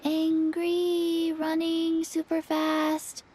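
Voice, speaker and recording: A woman voicing a quiet, deadpan small child in a video game, speaking in terse, halting phrases. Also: very high voice, full character voice. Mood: flat, gentle. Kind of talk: video game character acting.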